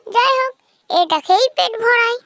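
A performer voicing a mischievous, always hungry trickster fox with a childlike, squeaky, singsong delivery in a children's fairy-tale cartoon. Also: animated, normal speed, bright, forceful tone, full character voice. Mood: greedy, searching. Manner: singsong, repeats a nonsense rhyming catchphrase.

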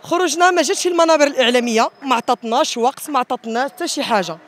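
Moroccan woman at a protest, talks super fast and high, hammers her points. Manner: emphatic, repetitive. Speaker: protesting wedding musician. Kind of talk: impassioned protest testimony. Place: outdoors in a city square. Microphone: handheld reporter microphone.

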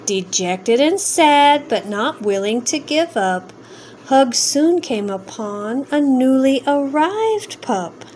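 A woman reading a rhyming children's story aloud in an expressive, drawn-out sing-song way. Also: sits high, slight background noise. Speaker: storybook reader.

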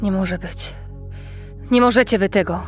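An actress playing an anxious, proud young pagan priestess in a dramatic audio play. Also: female voice, medium pitch, unhurried, soft background music, close to an everyday voice. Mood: alarmed, defiant.

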